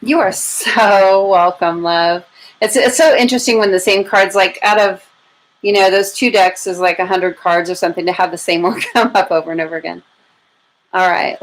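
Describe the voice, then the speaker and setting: Lower-voiced American woman reading tarot live. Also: poor audio. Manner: informal, thinking aloud, addresses viewers affectionately. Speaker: tarot reader hosting a live stream. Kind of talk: casual live tarot reading, chatting to viewers. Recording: clear background noise and room ambience.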